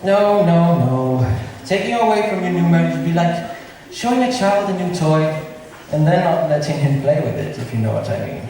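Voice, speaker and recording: A man's voice: a little high, animated, normal speed, creaky. A male student drama club performer playing a teasing, jovial prince on stage. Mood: genial. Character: teasing, jovial prince among his friends. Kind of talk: amateur stage acting. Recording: very echoey hall, distant mic, faint hiss.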